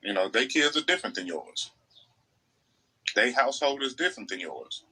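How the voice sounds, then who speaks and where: American male podcast co-host, raspy and punchy, opinionated, emphatic conversational delivery. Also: medium pitch, sing-song, moderate pace, sharp tone, rough recording. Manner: emphatic, repeats phrases to make points. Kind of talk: casual opinionated podcast chat.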